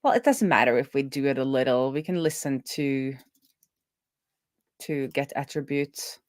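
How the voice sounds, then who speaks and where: A woman with a low voice talks through her code as she works, recorded dry with very little room sound. Her delivery is casual and halting, with sentences that trail off and get restarted as she reasons things out. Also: dry recording. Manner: halting, trailing off mid-thought and self-correcting. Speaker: developer hosting a live coding stream. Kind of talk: thinking aloud while coding, casual.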